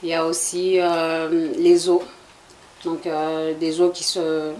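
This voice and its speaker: A woman's voice: sits low, monotone, takes it slowly, dark tone. A woman from Congo in her forties, answering interview questions in a hesitant, explanatory way with many fillers. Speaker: guest, association president.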